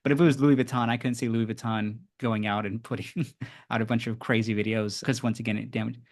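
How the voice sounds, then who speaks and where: Brisk, fast-talking podcast host man. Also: mid-range voice, steady tone. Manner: brisk, interviewer questions. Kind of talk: podcast interview.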